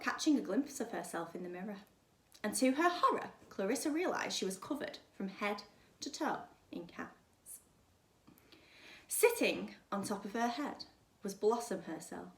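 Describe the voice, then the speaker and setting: Female primary-teacher storyteller, medium pitch, expressive and emphatic reading for children, recorded in an echoey room. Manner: dramatic, emphatic delivery.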